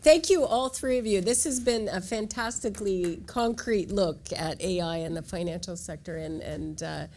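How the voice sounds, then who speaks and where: A woman's voice: mid-range voice, moderate pace, few pauses, a little mellow, slight background noise. A woman moderating a conference panel, upbeat and enthusiastic as she hands questions to the panelists.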